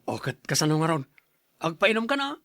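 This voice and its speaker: A man's voice: fairly high pitch, sing-song, a little fast, a bit bright, no room sound, studio quality. A man playing a henpecked, hard-drinking husband in an audio drama, grumbling and protesting in a defensive manner. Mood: sociable. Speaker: voice actor in a drama. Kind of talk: Ilocano audio drama acting.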